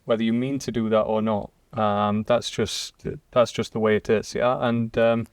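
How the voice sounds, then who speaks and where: A man on a company podcast panel, talking in a relaxed, upbeat way. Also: lowish voice, normal speed, clean tone, no room sound. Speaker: employee ambassador.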